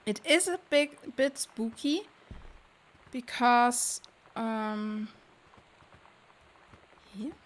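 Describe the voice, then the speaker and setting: A woman's voice: a little high, expressive, very slow, long pauses. A woman streaming a video game live, thinking aloud in casual, sparse asides to herself.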